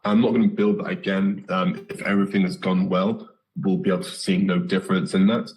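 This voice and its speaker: A British man with a hoarse, slightly nasal voice from a recent flu, giving a live coding lesson with many ums and self-corrections. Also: sits low, flat delivery, a little fast, darkish tone, rough recording. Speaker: coding instructor.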